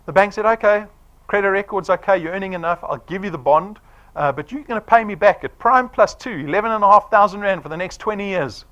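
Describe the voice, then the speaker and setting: A man's voice: high voice, quick pace, dull audio. A man in his forties giving a persuasive sales presentation to a live audience, often checking in with "right?". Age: 40s. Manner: animated, persuasive, quizzing the room with "right?".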